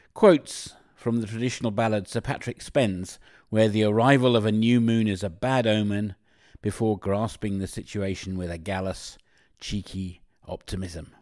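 A man's voice: on the low side, fairly even intonation, measured pace, darkish tone, no room sound, studio quality. A man delivering reflective, scripted narration.

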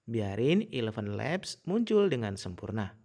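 Man with lively, varied pitch. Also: unhurried. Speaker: tutorial presenter. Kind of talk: casual step-by-step screen tutorial.

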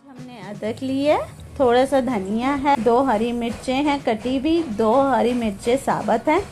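A woman with a slightly high voice walks the viewer through a recipe from her home kitchen. Her delivery is plain and homely, with each step explained patiently. Soft music plays faintly under her voice. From Pakistan. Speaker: cooking channel host. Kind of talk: step-by-step cooking instruction.

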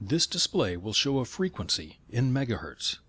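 A man narrating scripted instructions in clear, step-by-step phrasing. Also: mid-range voice, very animated, normal speed, pushed and bright, no echo.

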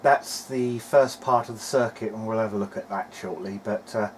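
A man with a fairly low voice talks through a hands-on electronics demonstration in a casual way. He thinks aloud as he goes, somewhat hesitant between phrases. There is faint noise behind him. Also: fairly even intonation, normal speed. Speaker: hobbyist presenter of an electronics repair video diary. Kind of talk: casual technical narration while demonstrating.

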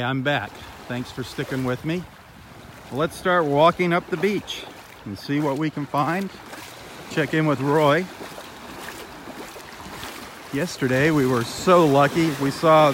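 A man's voice: a little high, unhurried, long pauses. A man hosting a casual live nature vlog in an easygoing, chatty, marveling way. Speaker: host of a live beach walk. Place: outdoors on a sandbar at a beach. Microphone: handheld phone camera. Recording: noisy outdoor audio with lapping water.

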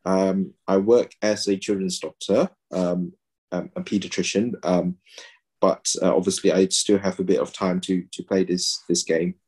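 Deep-voiced man, hesitant on a video call. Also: flat delivery, moderate pace, a little mellow, breathy edge. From Malaysia. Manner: hesitant, many fillers and restarts. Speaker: guest, pediatrician and game player. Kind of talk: casual video-call interview. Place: home room, indoors.

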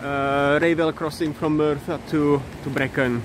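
Man vlogging outdoors, halting speech. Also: fairly high pitch, steady tone. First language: not English. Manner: hesitant, many fillers, halting pronunciation. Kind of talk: casual walking vlog commentary. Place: outdoors in countryside by a river. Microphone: phone held at arm's length. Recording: outdoor wind and stream noise.

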